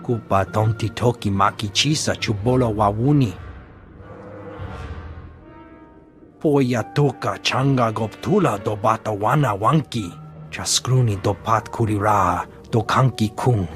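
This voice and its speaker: A man's voice: lowish voice, normal speed, faint music behind, lightly acted. An actor voicing a talkative male alien engineer in a video game, rattling off syllables in an invented tongue. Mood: informative.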